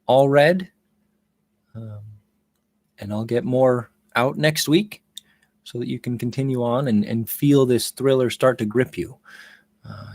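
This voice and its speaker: A man's voice: mid-range voice, a little mellow, no room sound. A male audiobook narrator and host with a mid-range voice. He moves between literary reading and informal talk to listeners, in a very dry recording.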